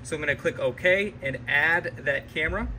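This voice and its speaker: A man's voice: on the high side, moderate pace, bright, forceful tone, slight background noise. A man presenting a step-by-step product tutorial in an informal, explanatory way. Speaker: tutorial presenter for a security camera company.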